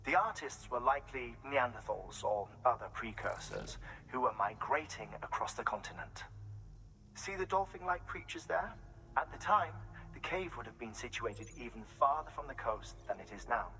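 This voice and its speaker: Game role: professorial male scientist, bright mid-range voice, eager, theatrical lecturer. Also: mid-range voice, lively intonation, moderate pace, a little hiss or hum, low-quality recording. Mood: excited. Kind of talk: video game radio briefing.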